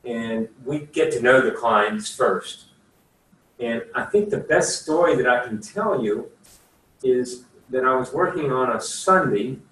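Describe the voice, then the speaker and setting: A man's voice: mid-range voice, fairly bright tone. Folksy older man telling stories. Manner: chatty, folksy, rambling storyteller with many fillers. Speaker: attorney. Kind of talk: casual talk at a career session. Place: room at a career services office. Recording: echoey room, far from the mic, faint hiss.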